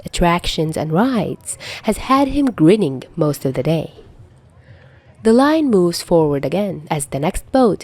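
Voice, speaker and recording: A woman's voice: low-pitched, darkish tone, no echo. A woman narrating a scripted story in the manner of an audiobook reader. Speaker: podfic narrator.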